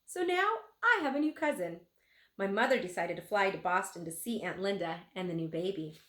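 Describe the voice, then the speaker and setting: Animated woman reading aloud, roomy echo. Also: mid-range voice, brisk. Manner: expressive, animated reading with acted dialogue. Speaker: teacher reading aloud to pupils. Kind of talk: children's book read-aloud. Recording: roomy, echoing room sound.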